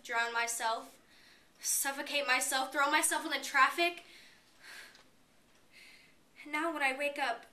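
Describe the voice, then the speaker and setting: A woman's voice: high voice, bright, forceful tone, full character voice. A woman performing a dramatic stage monologue as a despairing, bitter young college student, emotive and confessional. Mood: anguished, intense. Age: young adult. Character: despairing suicidal college girl, bitter and pleading. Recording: roomy, distant mic, faint noise.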